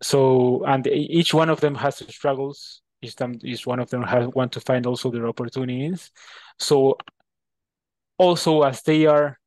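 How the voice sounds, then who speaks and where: A man from Peru with a Peruvian Spanish accent, a native Spanish speaker answering interview questions in a halting way, often repeating words. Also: mid-range voice, fairly even intonation, a little mellow, no room sound, poor audio. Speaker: interview guest.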